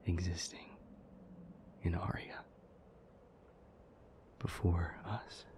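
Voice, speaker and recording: A man playing an ancient, somber, thoughtful demon in an intimate audio roleplay, telling old myths in a breathy, hushed, halting voice with long pauses. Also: low voice, little pitch movement. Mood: solemn storytelling. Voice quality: breathy, whispery.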